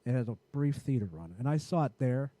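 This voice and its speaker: A man with a raspy voice in casual, profane podcast chat, rambling and often restarting his sentences. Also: medium pitch, expressive, a little fast, dark tone, dry recording. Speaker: podcast co-host.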